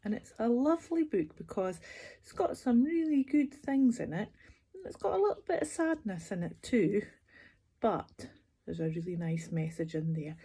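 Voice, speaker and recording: Slow, very expressive woman reading a bedtime story. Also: lowish voice, husky. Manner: expressive, sing-song, with questions to listeners. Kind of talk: children's picture-book read-aloud, addressing young listeners.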